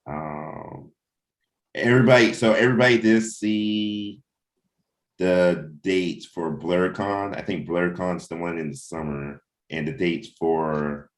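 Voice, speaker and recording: A man talking casually and informally as he leads a team meeting of comic book creators, often checking in with his listeners. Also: low voice. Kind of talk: casual chat, planning and anecdotes.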